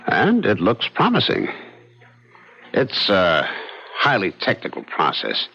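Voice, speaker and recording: Deep-voiced anxious, evasive businessman. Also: wildly swooping pitch, gravelly, pushed and bright, husky, full character voice. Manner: earnest, halting, defensive. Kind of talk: old-time radio drama acting. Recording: muffled old radio recording.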